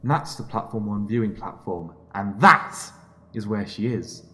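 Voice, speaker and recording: Man acting an earnest, low-pitched inner voice. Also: animated, a little slow, bright, forceful tone, heavily acted. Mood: encouraging. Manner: emphatic, coaxing, questioning. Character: earnest, pleading male inner voice, confident alter ego. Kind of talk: dramatic audio drama dialogue.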